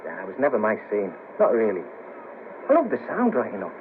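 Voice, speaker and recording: Disillusioned ex band manager, noisy old tape. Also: male voice, fairly low pitch, huge pitch swings, fairly quick. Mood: wistful, disillusioned. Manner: hesitant, rueful, clipped phrases. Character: down-on-his-luck ex band manager, disillusioned, easily shocked. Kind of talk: 1970s radio play acting. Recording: noisy muffled cassette of 1970s radio broadcast.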